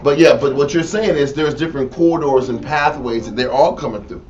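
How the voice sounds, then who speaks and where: A man's voice: medium pitch, a little fast. A man leading a casual Bible study, talking in slang and acting out the scenes. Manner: animated, slangy, acts out scenes. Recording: distant lo-fi room recording with faint noise.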